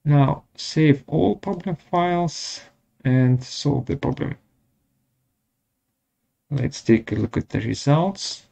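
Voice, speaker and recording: A man presenting a step-by-step software tutorial in short, measured, halting phrases. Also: on the high side, expressive, gravelly. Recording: dull lo-fi audio.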